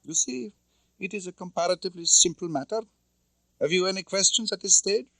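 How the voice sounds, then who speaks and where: Audiobook villainess: cold older female Soviet intelligence officer, played by a man, pitched high, commanding, formal, dry close recording. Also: brisk, creaky, bright, forceful tone, no echo, full character voice. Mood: stern, commanding. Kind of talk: audiobook character acting.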